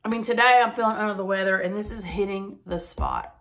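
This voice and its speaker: A woman's voice: medium pitch, quick pace, a bit bright. A woman, chatting casually as she cooks in her home kitchen. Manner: chatty, enthusiastic, says y'all often. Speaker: cooking vlogger. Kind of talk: casual cook-along chat.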